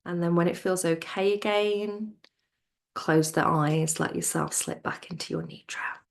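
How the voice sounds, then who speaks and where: Slow, soft-spoken woman guiding relaxation. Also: fairly low pitch, fairly even intonation, vocal fry. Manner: soothing, gentle, unhurried with pauses. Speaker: yoga nidra teacher. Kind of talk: guided relaxation instruction.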